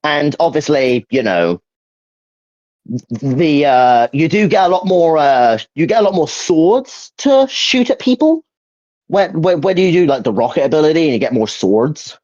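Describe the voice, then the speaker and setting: A man's voice: a little high, expressive, a little slow, a little mellow. A young man chatting casually as he hosts a video game ranking, hesitant and repeating himself as he searches for words. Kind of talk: casual opinion chat about video games. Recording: dull, lo-fi mic.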